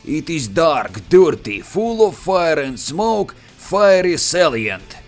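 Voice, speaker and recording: Casting: male game commentator, Russian accent, slightly high voice, animated and joking, soft music underneath. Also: slow pace. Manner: playful, joking, expressive with sudden pitch jumps. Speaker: gameplay commentator. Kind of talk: scripted game commentary voice-over.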